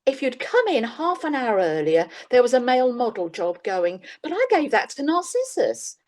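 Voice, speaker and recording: A woman's voice: a little high, sing-song, moderate pace, a little mellow. A woman playing a businesslike, slightly weary job agent in a comic radio play. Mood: dry. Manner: businesslike, dry, faintly exasperated. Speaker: employment agent.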